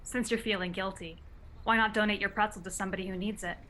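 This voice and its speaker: A woman's voice: mid-range voice, fairly even intonation, quick pace, bright, forceful tone, slight background noise, natural voice. A woman reading a young college woman with a wry, teasing delivery in a script-reading radio play.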